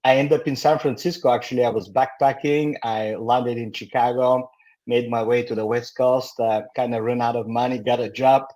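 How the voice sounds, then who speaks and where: Interview guest: mature male, Bulgarian accent, candid storyteller who pauses and searches for words. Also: mid-range voice, flat delivery, a little mellow. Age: middle-aged or older. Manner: halting, candid. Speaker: guest, company founder.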